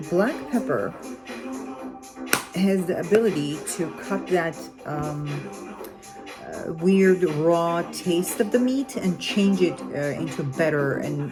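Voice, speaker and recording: A woman from Armenia with an Armenian accent, chatting casually through a cooking vlog in her home kitchen, rambling with lots of fillers and self-corrections. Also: low voice. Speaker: cooking vlogger. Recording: loud background music.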